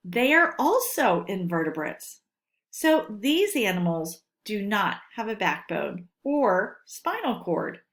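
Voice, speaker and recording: A woman teacher reading a lesson aloud to children, speaking deliberately and instructively and stressing key words. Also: mid-range voice, sing-song. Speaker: elementary school teacher.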